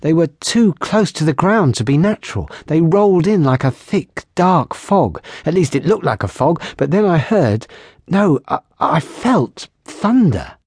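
A panicked young patrol soldier, as a male narrator voices him in an audiobook. He stammers and stumbles in a husky, strained, high voice. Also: big pitch swings, unhurried, a little mellow, no echo, clean studio sound, exaggerated voice. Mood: frightened. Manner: stammering, fearful.

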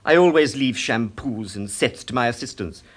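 An actor playing an affected, highly strung male society hairdresser in a radio drama, precious and melodramatic. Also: lively intonation, moderate pace, natural voice. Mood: huffy, defensive. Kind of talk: British radio drama acting.

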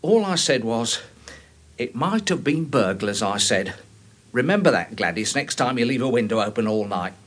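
Flustered, husky, fussy little Englishman. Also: mid-range voice, animated, moderate pace, a bit bright. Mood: shaken, prim. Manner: flustered, stammering, obsequious. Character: timid, deferential, fussy little lower-middle-class Englishman. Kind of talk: full-cast BBC radio drama acting.

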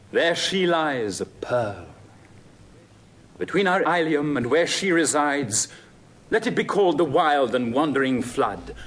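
An actor playing a lovesick, impatient young Trojan prince in classical Shakespearean verse, with impassioned, declamatory delivery. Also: male voice, medium pitch, a bit bright. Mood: exasperated, brooding. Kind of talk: classical Shakespearean stage acting.

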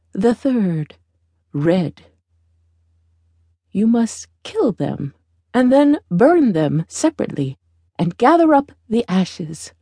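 A woman plays a ragged old beggar woman from a fairy tale, wise and deliberate. The voice is raised in pitch and slow, imploring and instructing as it goes, with a dry, close sound. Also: mellow, no echo, heavily acted.

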